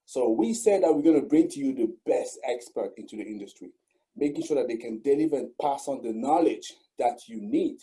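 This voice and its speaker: A man's voice: mid-range voice, mellow, low-quality recording. A man hosting an online interview, speaking formally and repeating his phrases for emphasis. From Cameroon. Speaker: host and channel founder.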